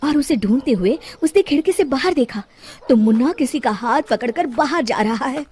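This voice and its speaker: A woman narrating a scripted cartoon moral story in an expressive storytelling manner. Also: high voice, normal speed, husky.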